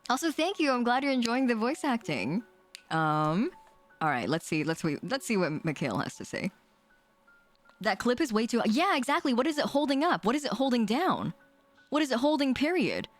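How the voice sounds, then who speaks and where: Animated, playful woman livestreamer. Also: on the high side, normal speed, faint music behind. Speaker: VTuber streamer. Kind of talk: casual livestream chat and visual novel read-aloud.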